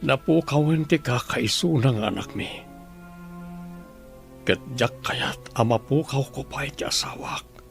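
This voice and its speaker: A man acting in a radio drama as a wealthy husband who pleads prayerfully, with a breathy delivery. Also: mid-range voice, animated, measured pace, faint music behind. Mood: anguished, pleading. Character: anguished wealthy husband praying for his wife.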